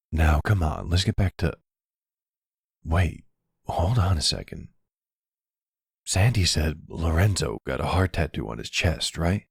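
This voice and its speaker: Male actor as devoted mobster partner for ASMR drama: husky, unhurried, halting, tender, weary older man. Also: a little mellow, no echo, clean studio sound, heavily acted. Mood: hesitant, grave. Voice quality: very deep, gravelly. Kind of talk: ASMR audio roleplay acting.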